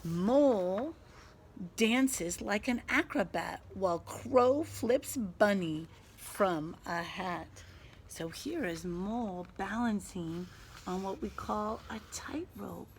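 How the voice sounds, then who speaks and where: A woman reads a picture book to young children as their teacher, taking her time. She is expressive and explains things in simple asides, with a touch of creak in her voice and some noise in the recording. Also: mid-range voice, slow pace. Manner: animated, gasping, child-directed explanations.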